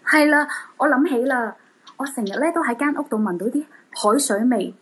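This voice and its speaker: A woman's voice: sits high, lively intonation, moderate pace, husky. A woman playing an anxious, polite, haunted wife in a radio drama, earnest and pleading, with a Hong Kong Cantonese accent.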